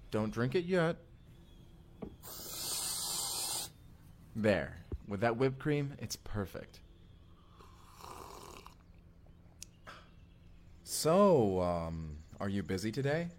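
A man's voice: mid-range voice, slight background noise. A young man acting a goofy, affectionate husband from the future in an intimate audio drama, speaking casually and hesitantly straight to the listener. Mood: warm, eager. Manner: casual, hesitant, sighing, talks directly to listener. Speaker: actor in audio roleplay. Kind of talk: first-person immersive audio roleplay, intimate conversational acting.

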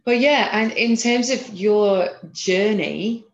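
A woman's voice: mid-range voice, slow. A woman with an English accent interviewing in a casual, conversational style as a podcast host. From Manchester, England. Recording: roomy echo, slightly dull lo-fi sound.

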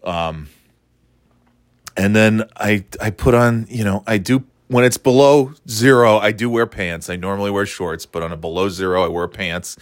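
A middle-aged man with a slightly stuffy nose, hosting a rambling solo chat, meandering and breaking off mid-thought, then getting playfully excited. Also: lowish voice, moderate pace, dry recording, clean studio sound. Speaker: podcast host.

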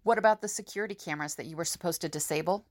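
A woman's voice: lowish voice, racing, darkish tone, lightly acted. A woman playing a confident, reassuring young prison escapee in an audio drama. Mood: suspicious.

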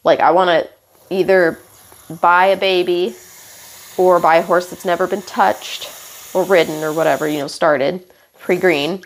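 A young woman hosting a podcast, hoarse and stuffed up from illness, rambling casually with lots of fillers and apologies while walking around her house on a headphone mic. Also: lowish voice, fairly even intonation, measured pace, a little hiss or hum, low-quality recording. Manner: meandering, many fillers and apologies, casual swearing. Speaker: podcast host. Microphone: headphone mic into phone.

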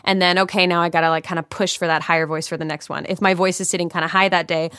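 A woman's voice: lowish voice, flat delivery, rapid-fire, sharp tone, smooth, no room sound, studio quality. A woman voice actor chatting on a podcast, acting out her own inner thoughts as she talks. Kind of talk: casual chat.

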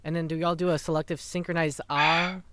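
A young man co-hosting a podcast over a voice call, making joking deadpan quips. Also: on the high side, a little fast, no echo. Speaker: podcast co-host. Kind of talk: casual chat on a remote call. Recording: noisy voice chat mic.

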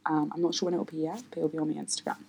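Casual solo podcast host, woman, husky and a bit raspy, halting with ums and small laughs. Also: sits low, flat delivery, darkish tone. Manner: hesitant, many ums, light laughs. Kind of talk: unscripted solo podcast chat.

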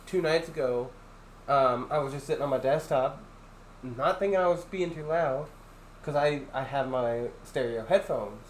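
A young man with a loud, deep voice that carries, chatting casually on a podcast at home and rambling through restarts. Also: normal speed. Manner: rambling, many restarts and fillers. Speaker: podcast host. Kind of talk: casual chat. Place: echoey room at home. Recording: echoey room, faint ambient noise.

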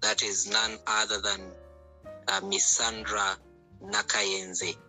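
A man hosts an online panel over call audio, polite and full of hesitant ums and uhs. His voice is very bright and of middle pitch. Faint music plays behind him. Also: flat delivery, takes it slowly. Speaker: host, panel moderator.

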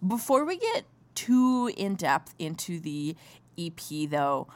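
Woman host, enthusiastic and chatty, slightly low voice. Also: very animated, slow pace. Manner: bubbly, gushing, upbeat. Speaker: podcast host. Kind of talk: enthusiastic interview chat.

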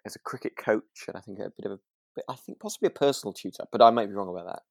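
A man's voice: fairly low pitch, animated, fast, gravelly, no echo, studio quality. British man, closely recorded, chatting tentatively. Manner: tentative, hedging. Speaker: podcast co-host. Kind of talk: relaxed casual chat.

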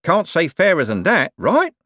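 Audiobook villain: a falsely jolly enforcer, bellowing, very high and swooping. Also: male voice, wildly swooping pitch, a little slow, bright, forceful tone, no room sound, clean studio sound, exaggerated voice. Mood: menacingly cheery. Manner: matey, mock-cheerful. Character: menacingly cheery male thieves' enforcer.

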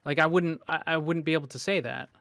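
A man's voice: fairly high pitch, fast talker, vocal fry, a bit bright, dry recording, clean studio sound. A man in a casual debate, speaking hesitantly but insistently and often repeating himself as he makes his point. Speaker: discussion panelist.

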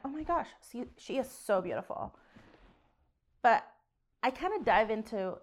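Woman from Indonesia, co-host, frank and easygoing in conversation. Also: a little high. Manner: candid, laughs easily. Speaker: podcast co-host. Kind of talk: casual chat.

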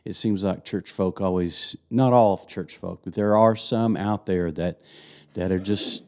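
Deep, mellow man. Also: measured pace. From Texas. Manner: jokey, easygoing, folksy sayings. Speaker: podcast co-host and senior pastor. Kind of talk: casual married-couple podcast chat.

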